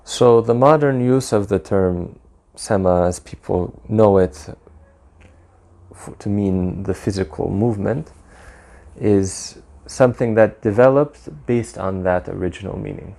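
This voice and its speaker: A man, a Sufi practitioner, giving measured, explanatory interview answers, dropping in Arabic religious terms. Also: fairly low pitch, vocal fry, dark tone. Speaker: guest, Mevlevi Sufi practitioner.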